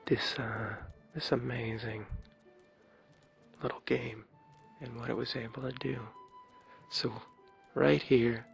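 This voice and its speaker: A man with a low raspy voice giving an ASMR gameplay tour as a video creator, talking softly in a hesitant, rambling way. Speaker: video creator showing his game builds. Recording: dull lo-fi mic, clear background music. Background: game music.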